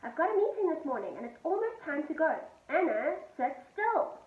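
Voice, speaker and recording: Woman playing a high, scolding, hurried mum. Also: big pitch swings. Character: hurried, scolding mother of young children. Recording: roomy, distant, dull and noisy.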